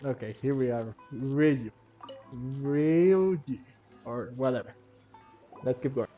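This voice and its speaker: A man's voice: medium pitch, slow pace. A man giving casual gameplay commentary, hesitant and rambling, often repeating his words, over game music. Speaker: gamer commenting on play. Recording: muffled audio, faint game music. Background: faint game music.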